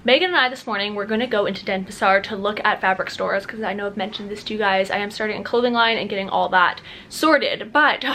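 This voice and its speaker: A woman's voice: medium pitch, pushed and bright, a little hiss or hum, rough recording. A young adult woman talking casually to camera as a travel vlogger, chatty and upbeat with lots of "like" and "um". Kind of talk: casual vlog talk to camera.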